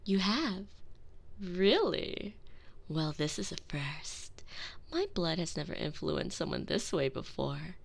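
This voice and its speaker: A seductive female vampire mistress, voiced by a woman in an intimate binaural audio roleplay. She talks slowly and toys with her servant, her pitch rising and falling in lively swoops. Her voice carries a gravelly fry and often sinks to a hushed whisper. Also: heavily acted. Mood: intrigued. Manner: teasing, manipulative, slips into soft whispers. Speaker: voice actress in a one-sided audio roleplay.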